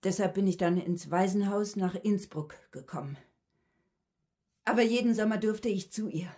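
An actress playing a subdued, withdrawn cook in her thirties, answering quietly and matter-of-factly in a radio drama. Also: medium pitch, close to an everyday voice. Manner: quiet, halting, reticent, matter-of-fact. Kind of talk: German radio drama acting.

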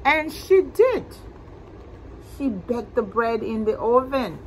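A woman reads a children's story at an unhurried pace with expressive, deliberate phrasing. Her pitch sits high and swings widely as she addresses young listeners. A steady noise runs behind her. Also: poor audio. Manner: slow, deliberate, expressive, asking listeners questions. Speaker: library storytime host. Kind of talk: animated picture-book read-aloud to children. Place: indoor library room with bookshelves.

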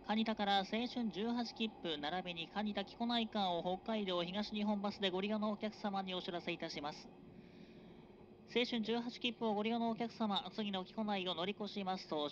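Train conductor guy on the pa, slow formal announcer voice, muffled with loud train rumble. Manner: formal, measured announcer cadence with drawn-out phrases. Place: moving express train. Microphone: train PA system recorded from a passenger seat. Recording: dull, noisy onboard PA recording.

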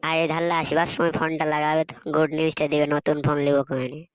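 A performer voicing an excitable, grumbling village student lad in a comedy cartoon, exclaiming in a rural Purulia Bengali accent. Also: mid-range voice, fast talker, clean tone, no echo, clean studio sound, lightly acted. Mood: chatty.

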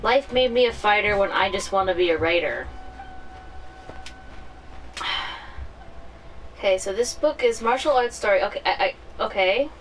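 A woman's voice: medium pitch, moderate pace, pauses often, slight background noise. A woman streaming a game playthrough with casual commentary, hesitant and trailing off as she reads aloud. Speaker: gaming streamer. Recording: distant mic, faint background game ambience.

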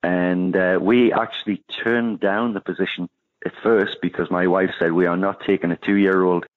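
A man with a Geordie English accent talks as a guest chef, easygoing and chatty with frequent "uh" pauses and ready laughs. His voice sits on the low side. It comes through a thin, tinny phone line with no room echo. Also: little pitch movement. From northeast England. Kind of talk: casual interview chat.

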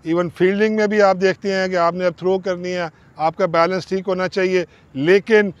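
A man's voice: high-pitched, dry recording. A middle-aged man, a cricket coach explaining a bowling balance drill step by step and counting the repetitions aloud, speaking outdoors on a lapel mic. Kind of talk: instructional coaching video.

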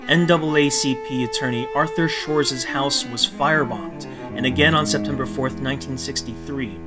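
A man reading scripted documentary narration in a measured, phrase-by-phrase manner. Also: mid-range voice. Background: guitar music.

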